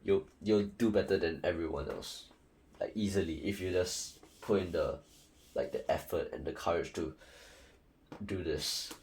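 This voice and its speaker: Chatty young Singaporean man, deep voice. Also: normal speed, darkish tone, slight background noise, poor audio. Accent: Singaporean English. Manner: chatty, rambling, many fillers and restarts. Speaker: student tutor. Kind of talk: casual advice video.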